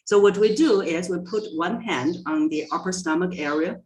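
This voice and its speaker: A woman speaking as a guest voice coach in a podcast interview, explaining in a deliberate, instructive way and stressing key words. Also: lowish voice, a little mellow, slight background noise, poor audio.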